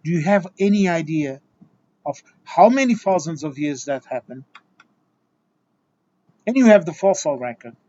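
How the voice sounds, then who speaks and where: A man's voice: high voice, mellow, highs cut off. A man from Portugal, a Portuguese native speaker, giving an exasperated, lecturing opinion monologue full of restarts and repeated words. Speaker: video essayist.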